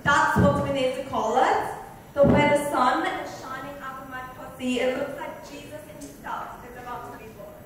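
A woman's voice: high voice, fairly even intonation, normal speed. A woman dramatically reading a novel's dialogue and narration aloud. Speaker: reader. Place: club lounge, indoors. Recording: echoey room, far mic, faint noise.

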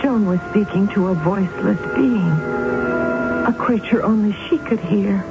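Radio drama lead, actress as obsessive young woman, deliberate and theatrical; thin vintage broadcast sound. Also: medium pitch, narrow, tinny audio, loud music behind the voice, lightly acted. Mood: haunted, tense. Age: young adult. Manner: deliberate, dramatic, halting phrasing. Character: intense, obsessive young woman convinced of demons. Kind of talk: 1970s radio drama acting, narration and dialogue.

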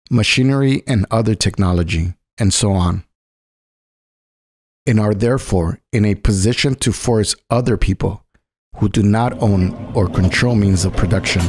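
Low-voiced man narrating a political script. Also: measured pace, long pauses, a little mellow, breathy edge, no echo.

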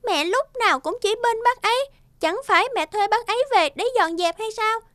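A woman's voice: very high-pitched, brisk, a bit bright, dry recording, clean studio sound. A woman playing a spoiled, bossy little girl in a cartoon, with a whiny, demanding manner. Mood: bratty, defiant. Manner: bratty, demanding. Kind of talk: cartoon dubbing.

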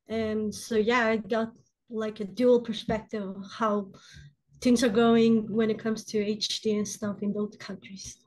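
Higher-voiced woman in her twenties from Sweden, quick and casual, on a video call. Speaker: panelist, student. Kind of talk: personal account on a panel. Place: home room. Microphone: video call from a laptop.

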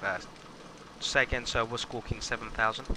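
A man's voice: mid-range voice, steady tone, normal speed, sharp tone. A man speaking as a flight instructor and pilot in a flight-simulator lesson, making radio calls. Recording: engine noise behind the voice. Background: aircraft engine drone from simulator.

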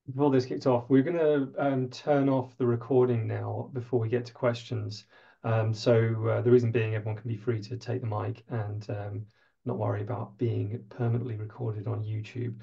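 Guy in his 30s wrapping up a webinar call, dark gravelly voice, muffled lo-fi audio. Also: medium pitch, flat delivery, normal speed. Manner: friendly, thanking the speaker. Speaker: webinar host. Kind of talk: hosting a webinar. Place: indoor room with a skylight. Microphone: video call. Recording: dull lo-fi video call audio.

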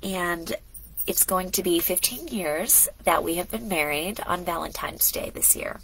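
A woman's voice: fairly low pitch, a little slow, creaky, a bit bright, slight background noise, rough recording. A middle-aged woman vlogging casually at home, chatty and rambling, a bit congested from a cold. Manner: rambling, chatty, talks in asides and restarts. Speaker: lifestyle vlogger. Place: home studio indoors.

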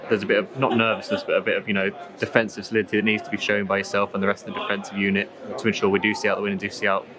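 A man firing off rapid, long-winded leading questions at a player after a match. His voice sits low and keeps a flat, unvaried line. They are outdoors at a football ground, with crowd noise clearly heard behind. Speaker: club interviewer. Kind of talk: post-match interview questions.

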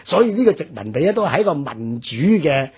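A man with a Hong Kong Cantonese accent explaining history in a measured radio discussion, with many fillers. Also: fairly high pitch, big pitch swings, darkish tone, slightly rough. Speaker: history commentator. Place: radio studio.

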